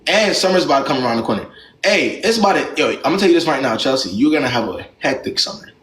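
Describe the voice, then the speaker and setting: A man's voice: fairly high pitch, expressive, fast, a bit bright, reverberant. A young man hosting a live stream who gives callers blunt, slangy relationship advice in a lecturing way.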